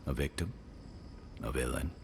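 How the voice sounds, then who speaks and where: An actor playing a weary, loyal, regretful companion in a video game, speaking in an earnest, deliberate, reflective way. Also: male voice, a bass voice, gravelly, a little mellow, slight background noise. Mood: resolute, warm. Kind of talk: video game dramatic dialogue.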